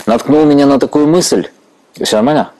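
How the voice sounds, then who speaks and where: A man's voice: medium pitch, normal speed, mellow, poor audio. A man in his forties from Ukraine who interviews his guest on a church stage, candid and reflective, laughing easily. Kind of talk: conversational interview.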